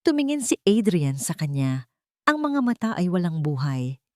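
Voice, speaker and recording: A woman narrating a romantic drama story from a script in a measured, dramatic, phrase-by-phrase manner. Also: lowish voice, big pitch swings, mellow, no echo, clean studio sound.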